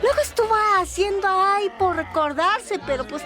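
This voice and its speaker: An actress playing a chatty, colloquial barroom waitress who dreams of singing, giggly and rambling, in a radio drama. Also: female voice, very high voice, moderate pace. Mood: worried, gossipy. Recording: music bed behind the voice.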